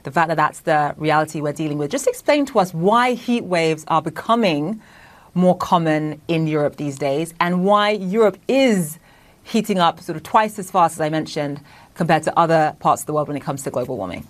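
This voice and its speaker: A woman news presenter with a notably low voice. She leads into her interview questions in a conversational, personal style. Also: animated, normal speed. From London, England.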